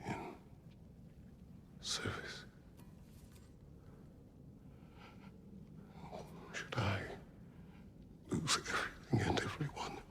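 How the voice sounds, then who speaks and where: An actor playing a weary, remorseful warrior god in a video game, grave and stern, speaking in slow, halting phrases with a deep, gravelly voice. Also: male voice, bright, forceful tone, long reverb tail. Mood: torn, searching. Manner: slow, halting, solemn, dropping to breathy near-whispers. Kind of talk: dramatic video game monologue.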